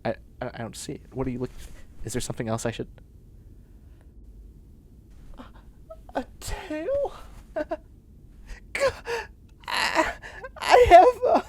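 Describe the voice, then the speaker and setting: A man's voice: extremely high pitch, huge pitch swings, unhurried, pauses often, a little mellow, a little hiss or hum, heavily acted. A man playing a flustered, sardonic young man turning into a catboy in a comedic sci-fi audio roleplay, stammering with exasperation and sarcasm. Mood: panicked. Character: flustered, sardonic young man sprouting cat ears and tail.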